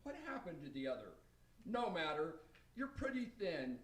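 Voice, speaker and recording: A man's voice: on the high side, big pitch swings, moderate pace, fairly bright tone. A man playing a jolly, hospitable old Santa Claus with a hearty, rhyming delivery in amateur radio theater. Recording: distant, roomy live radio stage recording.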